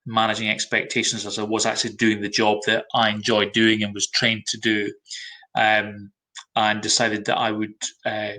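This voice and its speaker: A middle-aged Scottish man, a brand consultant, talking in a webinar at a measured pace with frequent ums. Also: fairly low pitch, monotone, bright, forceful tone, poor audio. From Scotland. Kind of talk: webinar talk.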